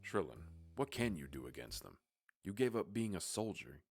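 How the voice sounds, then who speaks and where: A man's voice: low voice, wildly swooping pitch, moderate pace, gravelly, darkish tone, breathy edge, soft background music, heavily acted. A man voicing a stern, cautious father in a visual novel, grave and warning in manner. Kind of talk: amateur visual novel voice acting.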